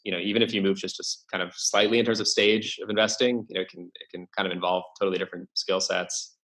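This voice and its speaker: A man's voice: low-pitched, level tone, fast talker, a bit bright, rough recording. A young man speaking as a podcast guest in casual interview talk, hesitant, with many fillers and restarts. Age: young adult. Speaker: podcast guest, venture investor.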